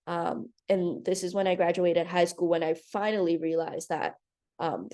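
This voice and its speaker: Young woman from Hong Kong, twenties, earnest and a little nervous, slightly low and mellow; presenter reading off slides. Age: 20s. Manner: earnest, nervous, with frequent ums. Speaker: nursing graduate presenter. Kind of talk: presentation over video call.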